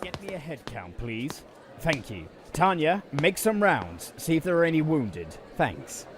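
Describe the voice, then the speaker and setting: A man's voice: a little high, very animated, a little slow, over music. A man playing a courteous, commanding leader of a wasteland settlement in an audio drama, with slightly old-fashioned manners. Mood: brisk, concerned. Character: kindly settlement leader under raider attack, courteous, commanding. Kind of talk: post-apocalyptic audio drama acting.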